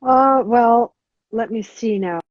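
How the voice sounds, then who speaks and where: Halting middle-aged woman, lo-fi sound. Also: on the high side, dry recording. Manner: hesitant, many restarts and fillers. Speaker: guest. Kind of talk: podcast interview. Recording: dull lo-fi sound.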